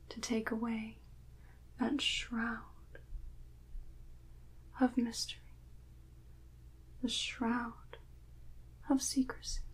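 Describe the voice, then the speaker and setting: A woman's voice: fairly high pitch, breathy edge, poor audio. A woman playing a serene, encouraging mystic priestess from a tarot deck, repeating reassurances in a whispered ASMR roleplay. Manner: slow, repetitive, reassuring.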